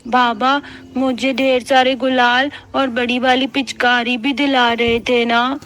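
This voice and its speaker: A woman's voice: on the high side, level tone, takes it slowly, a bit bright. A woman voicing an innocent, plaintive small child from a poor family in a cartoon story. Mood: plaintive, disappointed. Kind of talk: Hindi cartoon story voice acting.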